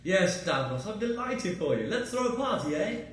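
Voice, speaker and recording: An actor playing a defiant, flippant alien time-traveller held prisoner in a sci-fi radio drama, speaking with theatrical sarcasm. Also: male voice, high voice, very animated, normal speed, a bit bright. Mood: sarcastic. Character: the Doctor, defiant sardonic Time Lord prisoner. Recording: strongly echoey chamber, faint music.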